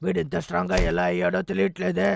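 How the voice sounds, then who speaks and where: Heavy gravel, raised pitch, elder cartoon bear brother, bossy, protective, blustering. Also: male voice, level tone, racing, a little hiss or hum. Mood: threatening. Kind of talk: dubbed children's cartoon acting.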